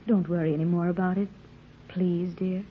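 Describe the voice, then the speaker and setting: An actress playing a gentle, composed middle-aged mother, genteel and pleading, in an old-time radio adventure serial. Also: on the low side, little pitch movement, moderate pace, slight background noise, close to an everyday voice. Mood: tender. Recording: muffled, narrow-band old radio recording.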